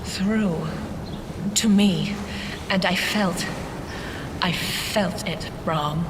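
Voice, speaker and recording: Slow, haunted, fading seer. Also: female voice, on the low side, noisy background. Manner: halting, gasping, anguished delivery. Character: mystical woman seer, distraught and fading into visions. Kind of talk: audio drama acting.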